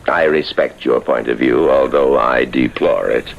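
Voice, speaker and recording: Deep gravelly stern govt minister, formal n dramatic, old noisy radio play. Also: male voice, measured pace, dull audio, exaggerated voice. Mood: earnest, reproachful. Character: stern, troubled male government justice minister.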